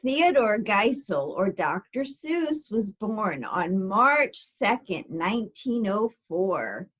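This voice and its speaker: A woman presenting a storytime for children, speaking to them directly in a deliberate, theatrical way. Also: medium pitch, very animated, a bit bright, husky. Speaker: guest storybook reader for children.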